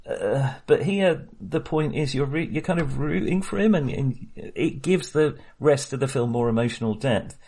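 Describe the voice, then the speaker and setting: A man's voice: mid-range voice, animated, normal speed, few pauses, dark tone, breathy edge. A man chatting casually about a film, rambling with many hesitations and frequent chuckles. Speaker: podcast host.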